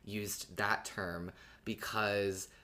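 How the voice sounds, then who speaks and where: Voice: low-pitched, flat delivery, very slow, sharp tone. A podcast co-host chatting casually about a story, explaining things in an earnest, informal way. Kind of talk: casual conversational podcast commentary.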